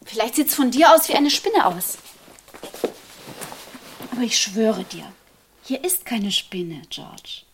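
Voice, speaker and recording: A woman's voice: on the high side, big pitch swings, slow, long pauses, slight background noise, full character voice. An actress playing a patient wife who placates and reassures her husband in a radio drama. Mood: patient, placating. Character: patient, gently soothing wife of a paranoid man. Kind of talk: German radio drama acting.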